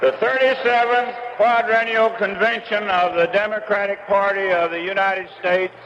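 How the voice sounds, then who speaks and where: A man's voice: sits high, steady tone, normal speed, fairly bright tone, highs cut off, a little hiss or hum. A man chairing a convention, making formal, ceremonial procedural announcements.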